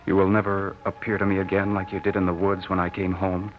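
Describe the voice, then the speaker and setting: An actor playing a grieving, devoted young war veteran, solemn and poetic, in an old radio drama. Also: male voice, low-pitched, monotone, fast, music in the background, lightly acted. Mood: yearning, anguished. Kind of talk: 1940s radio drama acting. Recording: muffled old radio recording.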